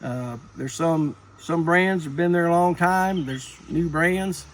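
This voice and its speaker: A man's voice: fairly high pitch, slow, low-quality recording. A man giving an informal, plain-spoken, rambling preparedness talk to viewers, in a folksy way. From Appalachia, United States. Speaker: preparedness vlogger.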